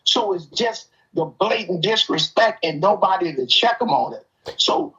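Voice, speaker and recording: A man's voice: high-pitched, fairly bright tone. An older man in casual, opinionated conversation, telling stories in an emphatic, streetwise way. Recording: dull, lo-fi audio.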